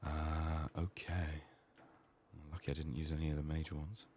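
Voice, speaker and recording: A soft-spoken man giving ASMR video game commentary, often slipping into whispers, his voice strained from being sick. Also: rumbling low, level tone, normal speed, a little hiss or hum.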